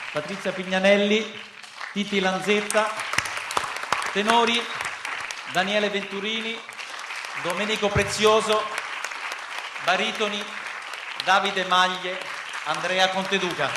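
A man's voice: high-pitched, fairly even intonation, slow pace, pauses often, over a noisy crowd, very noisy. A man presenting performers by calling out a roll call on stage. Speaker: presenter of the cast. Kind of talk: loud stage announcement of names. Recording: echoey live hall with loud audience.

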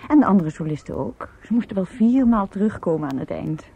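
An actress playing a composed middle-aged mother in a radio play, chatting gently in an unhurried, conversational way. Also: medium pitch, animated, vocal fry, slightly rough. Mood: chatty, amused. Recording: dull, muffled old radio recording.

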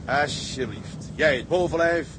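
Commanding captain with a raised pitch played by a man. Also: unhurried, lightly acted. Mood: dry. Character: commanding, no-nonsense ship's captain. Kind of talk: audio drama acting. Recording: clearly noisy audio track.

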